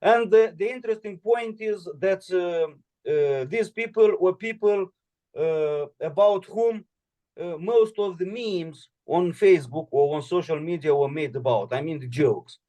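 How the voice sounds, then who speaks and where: A man with a Romanian accent and a fairly high-pitched voice, answering questions in English. He talks haltingly, with many "uh"s and restarts as he searches for words. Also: warm tone, low-quality recording. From Moldova. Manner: hesitant, many fillers and restarts. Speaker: guest speaker.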